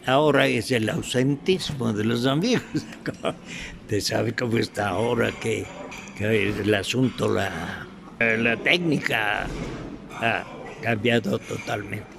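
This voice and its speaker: Slow, gravelly older Ecuadorian man. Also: on the low side, background noise. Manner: halting, searching for words. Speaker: veteran radio drama actor, interviewee. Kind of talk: reminiscing in an interview.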